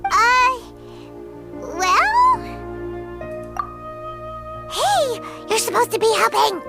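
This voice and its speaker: A tiny fairy sidekick with an extremely high, childlike voice. She is chatty and exclamatory, swinging widely in pitch as anime game companions do. Music plays clearly behind her. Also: big pitch swings, extremely slow, full character voice. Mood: perky. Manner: exclamatory, speaks of self in third person. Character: small excitable fairy companion girl, chatty, squeaky, childlike. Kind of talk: anime fantasy game voice acting.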